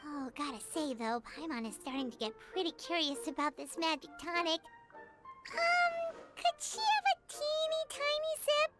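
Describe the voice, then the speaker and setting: A woman voicing a small, excitable, curious childlike fairy companion in a video game, speaking in a cutesy way. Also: very high-pitched, moderate pace, over music. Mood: curious, pleading. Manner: cutesy, speaks of self in third person.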